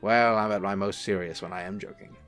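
An actor playing a suave, teasing angel in human form, smooth and courtly in a radio-style drama. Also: male voice, low voice, steady tone, fairly quick, fairly bright tone, faint music behind. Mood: charming, tender.

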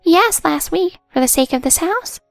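A woman's voice: animated, normal speed, vocal fry, no room sound, soft background music, exaggerated voice. A woman voicing a pushy, entitled middle-aged woman in a storybook narration, speaking in a bossy, smug manner. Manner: bossy, smug, later shrill and pleading.

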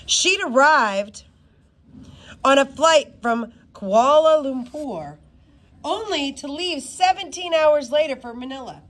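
A woman reads a novel aloud in a high voice. Her delivery is informal and expressive, with very lively swings in pitch. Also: slow. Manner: expressive, informal, stumbles over some words. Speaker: reader of a children's book.

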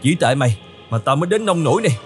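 A man's voice: a little high, very animated, very fast, soft background music. A man voicing an anxious young male cartoon camel in a whiny, exclamatory, melodramatic way. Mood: angry. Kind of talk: cartoon voice acting.

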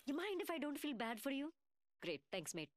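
Casting: brash young London woman gunsmith for a shooter game, highish voice, very animated swoops, sarcastic taunts in a working-class British accent. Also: a little fast, no room sound. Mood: mocking. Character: cocky, mocking young woman gunsmith fighter, brash and cheeky. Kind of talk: video game character voice lines.

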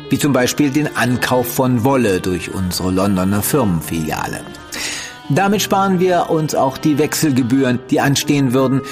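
An actor playing a genial, shrewd senior merchant banker in an audio drama, measured and patronising in formal diction. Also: male voice, mid-range voice, natural voice. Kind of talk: German audio drama acting.

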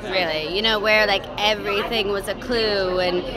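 A woman's voice: medium pitch, fairly even intonation, moderate pace, music in the background, poor audio. A woman in her forties, an actress chatting candidly and with self-deprecating humor in a red-carpet interview, speaking into a handheld mic at an indoor event. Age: 40s. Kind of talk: casual red-carpet chat. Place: indoor event press wall, large hall. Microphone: handheld mic held by interviewer. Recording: loud crowd chatter behind.

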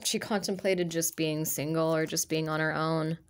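A woman with a slightly low voice gives a casual tarot reading, her nose stuffy from allergies. She rambles and circles back often, filling gaps with "like" and "um." She talks over the cards at her table. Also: steady tone, normal speed. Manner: rambling, hesitant, many fillers and restarts. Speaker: tarot reader.

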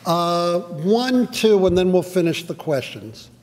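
An older man answers questions after his lecture in a brisk, conversational manner. His voice sits fairly high. It rings out with a long reverb through a large auditorium, picked up by a podium mic. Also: sing-song. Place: large synagogue auditorium stage. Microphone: podium mic, sometimes walking away. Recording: reverberant hall.

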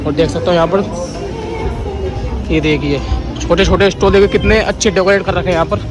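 A man giving a casual walking tour of a shopping mall, narrating into a phone camera and pointing things out as he walks. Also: sits high. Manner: repeats "dekhiye" constantly, pointing things out. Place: outdoor mall plaza, then inside a large shopping mall. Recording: loud music and crowd noise behind.

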